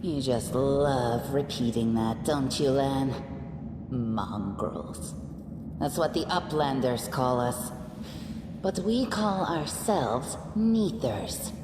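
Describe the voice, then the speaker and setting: A woman's voice: extremely low pitch, expressive, takes it slowly, noisy background, full character voice. An actress playing a proud, bitter cave-dwelling mongrel woman in a video game, solemn and scornful. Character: proud, bitter young female mongrel cave-dweller, defensive of her people. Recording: echoey hall, music behind.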